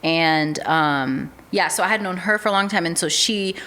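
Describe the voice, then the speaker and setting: A woman's voice: fairly low pitch, normal speed. A young woman from the United States, chatting casually as a podcast guest, with a lot of "um" and "like". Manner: relaxed, chatty, with many fillers like "um" and "like". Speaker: podcast guest, artist manager. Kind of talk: casual interview chat.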